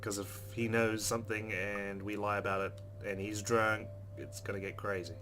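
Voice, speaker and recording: A man's voice: on the low side, little pitch movement, normal speed, pushed and bright, soft background music. A man playing a visual novel, reading its narration and adding wry, amused asides. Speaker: let's play gamer. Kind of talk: reading game text and reacting.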